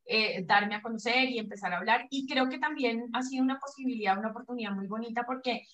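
A woman's voice: on the high side, fast, a bit bright. Hesitant Colombian woman on a call. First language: Spanish. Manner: hesitant, many restarts and fillers. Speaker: pelvic floor physiotherapist, guest. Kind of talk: online interview.

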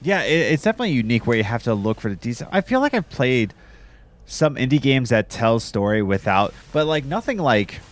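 A man's voice: mid-range voice, very animated, fairly quick. A man hosting a casual podcast chat, losing his voice from a cold, rambling with lots of fillers and restarts. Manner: rambling, many fillers and restarts, laughs often. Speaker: podcast host.

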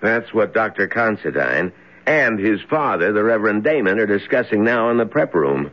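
Lively low-voiced young intern. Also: male voice, moderate pace. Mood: teasing. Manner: jaunty, gossipy. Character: wry, teasing young hospital intern. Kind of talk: old-time radio drama acting. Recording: dull old radio broadcast recording.

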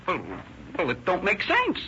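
An actor playing an excitable, slow-witted firefighter in a 1930s radio drama, stammering and repeating himself. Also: male voice, sits high, exaggerated voice. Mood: puzzled. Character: excitable, slow-witted male firefighter reporting to his chief. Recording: dull, echoey old radio recording, faint noise.

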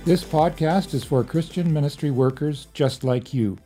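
A man's voice: fairly high pitch, moderate pace, music in the background. A man hosting a podcast, reading scripted introductions and announcements. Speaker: podcast host.

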